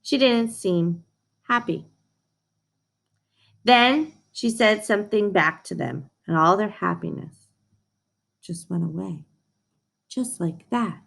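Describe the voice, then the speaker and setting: Expressive woman reading a story aloud. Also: medium pitch, slow pace, vocal fry, pauses often. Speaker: read-aloud host for children. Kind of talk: expressive storybook read-aloud with chatty asides.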